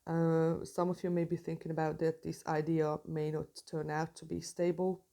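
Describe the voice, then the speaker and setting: Hungarian woman doing a tarot reading, lowish voice, bit of fry, lots of ums. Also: little pitch movement, normal speed, mellow. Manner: hesitant, many fillers and restarts. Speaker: tarot reader. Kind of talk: casual tarot reading to camera.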